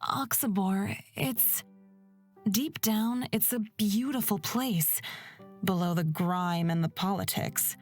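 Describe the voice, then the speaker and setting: A calm young woman who drives a wagon in a fantasy game, voiced by a woman reader. She speaks in a measured, thoughtful way, with soft music underneath. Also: medium pitch.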